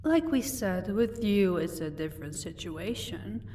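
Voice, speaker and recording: A woman's voice: medium pitch, animated, unhurried, warm tone, lightly acted. Woman acting a female vampire, slightly distant mic, faint noise, haughty, taunting, composed, commanding, audio roleplay. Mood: scornful, taunting. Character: powerful female vampire, mocking, commanding, married lady of an estate.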